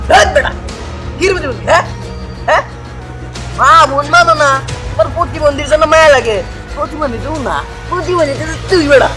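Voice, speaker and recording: A man's voice: extremely high pitch, fast talker, music in the background. A man with a Bangladeshi accent playing a menacing, swaggering young gang boss who scolds in colloquial speech in a short-film drama. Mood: bullying, scornful.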